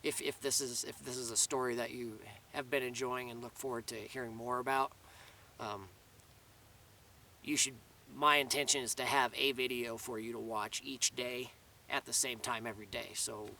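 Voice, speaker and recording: A man's voice: medium pitch, steady tone, moderate pace, gravelly, bright, forceful tone, distant mic, slight background noise. A man giving a casual, rambling video update outdoors in the mountains, restarting his sentences often. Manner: rambling, many restarts and fillers. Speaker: vlogger. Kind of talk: casual spoken update to camera.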